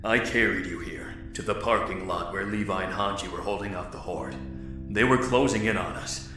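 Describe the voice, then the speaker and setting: An actor in an audio drama playing a grave, earnest man in a zombie apocalypse, measured, formal and guarded. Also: low voice, bright, forceful tone. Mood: anguished. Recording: very echoey room, faint music.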